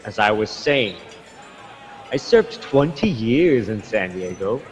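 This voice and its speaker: An actor playing a pompous, contemptuous Spanish friar in a radio drama, full of scorn. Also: male voice, lowish voice, very animated, slow pace, long reverb tail, soft background music. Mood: scornful.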